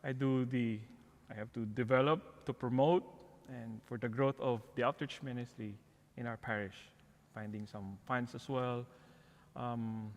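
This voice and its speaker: A middle-aged man with a Filipino accent speaks as a guest in a church interview. His voice sits at a medium pitch, with the long reverb of a large hall around it. He talks in a conversational, storytelling way. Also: steady tone, a little slow. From Philippines. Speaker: guest, parish outreach coordinator. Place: church, before the altar.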